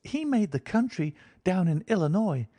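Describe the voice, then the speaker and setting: Male narrator putting on a small frontier girl, high sing-song kid voice, big swoops, plain folksy talk. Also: very animated, moderate pace, warm tone, no echo. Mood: eager. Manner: rustic dialect, high childlike lilt. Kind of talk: audiobook character voice.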